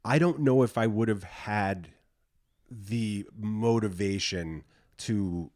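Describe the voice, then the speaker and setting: A man with a lowish voice speaks as a guest in a casual interview. He explains things in an easygoing, matter-of-fact manner. The recording is close and very dry. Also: slow, darkish tone, dry recording. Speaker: podcast guest, musician. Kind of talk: casual chat.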